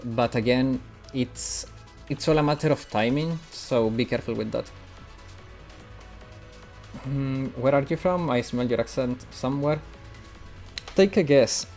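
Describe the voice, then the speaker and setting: Chatty Italian gaming streamer, mixed accent. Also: male voice, medium pitch, a little slow, pauses often, darkish tone, soft background music. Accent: mixed British and American English. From Italy. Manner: chatty, answers chat while playing. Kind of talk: casual live-stream chat.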